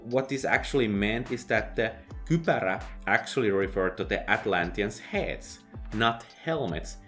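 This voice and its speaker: A man narrating a sardonic book review, dry and mocking with sudden loud outbursts. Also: fairly low pitch. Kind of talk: sardonic video essay narration.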